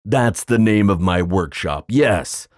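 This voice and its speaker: A man's voice: on the low side, lively intonation, a little mellow, dry recording, studio quality. A man playing a flirtatious, confident giant sea god in an ASMR roleplay, teasing and theatrical in his delivery. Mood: playful, teasing. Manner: teasing, theatrical, drawn-out phrasing.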